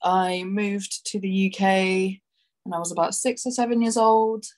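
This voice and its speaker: A woman in her thirties with a London accent talks as a podcast guest. She is candid and loose, often breaking into laughter as she rambles through her stories. Her pitch sits in a middling range. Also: steady tone, unhurried, darkish tone. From Brazil. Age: 30s. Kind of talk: casual chat.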